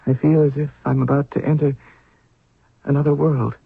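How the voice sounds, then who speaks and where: A man acting in a 1970s radio drama as a young, newly crowned pharaoh, grave, halting and apprehensive. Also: fairly high pitch, little pitch movement, gravelly, husky, dry recording, exaggerated voice. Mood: solemn, uneasy. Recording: dry phone-like vintage radio recording.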